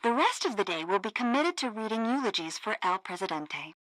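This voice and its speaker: Bright, animated radio newswoman. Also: mid-range voice, a little fast, no room sound. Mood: newsy, brisk. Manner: animated, exaggerated newsreader delivery. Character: sassy, peppy female radio news presenter. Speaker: radio news co-host. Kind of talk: satirical radio news broadcast acting.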